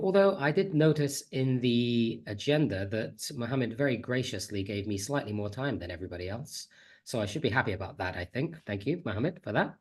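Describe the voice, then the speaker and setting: A man with a slight cold giving a chatty, friendly online conference talk as a teacher trainer, on a computer mic over a video call. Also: mid-range voice. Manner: friendly, chatty, self-deprecating.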